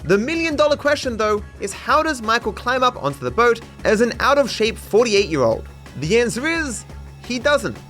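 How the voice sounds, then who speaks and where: High-pitched man, dryly sarcastic. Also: big pitch swings, moderate pace, a bit bright. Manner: dry, mock-serious sarcasm. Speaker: video game commentator and host. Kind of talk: scripted comedic narration over game footage. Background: music under the voice.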